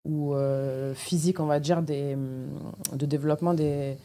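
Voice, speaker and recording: Low, mellow-voiced woman. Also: level tone, a little slow. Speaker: elite jiu-jitsu athlete, podcast guest. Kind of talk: explanatory interview talk.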